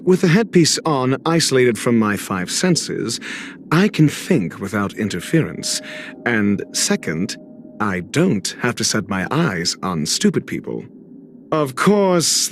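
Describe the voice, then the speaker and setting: Haughty scholar, slow and swooping. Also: male voice, medium pitch, slight background noise. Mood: condescending. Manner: condescending, measured, theatrically articulate. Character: haughty, intellectual male scholar with cutting condescension. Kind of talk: scripted video game character monologue. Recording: voice over background music.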